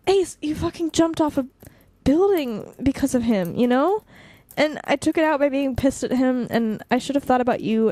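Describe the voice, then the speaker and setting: Casting: woman as fiery young female lead, fairly high voice, blunt, emphatic, caring underneath, dry close recording. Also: dark tone, dry recording, natural voice. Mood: apologetic, caring. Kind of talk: naturalistic audio drama dialogue.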